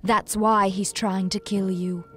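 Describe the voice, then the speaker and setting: Poised game noblewoman leader, measured and serious, mid voice, soft music underneath. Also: mid-range voice, level tone. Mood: grave, revealing. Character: poised, grave noblewoman leading a rebel cause. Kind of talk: video game cutscene acting.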